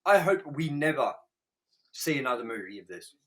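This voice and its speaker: A man's voice: mid-range voice, very animated, moderate pace. A man co-hosting a movie review show, talking casually and emphatically in a profane, opinionated rant. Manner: ranting, emphatic, swears heavily.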